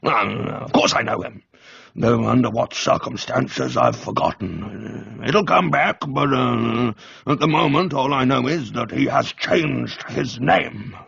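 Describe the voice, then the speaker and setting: A genial, absent-minded old major acted by a man in a radio comedy. He bumbles along hesitantly in a gravelly voice, recorded a little dully. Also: mid-range voice, slow pace, fairly bright tone, muffled recording, exaggerated voice. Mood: genially vague. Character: friendly, vague, absent-minded older army major.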